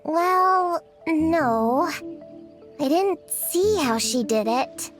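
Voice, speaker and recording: A woman's voice: high-pitched, expressive, very slow, exaggerated voice. A woman voicing an earnest, proud young girl witch hunter in a video game. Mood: stubborn. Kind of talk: video game character acting. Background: background music.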